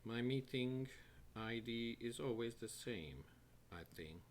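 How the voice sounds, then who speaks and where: A man hosting a live show from his home studio, talking haltingly and restarting as he sorts out his setup. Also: medium pitch, lively intonation, a little hiss or hum. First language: Hungarian. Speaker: host of a live online show. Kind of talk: casual live-stream talk to viewers.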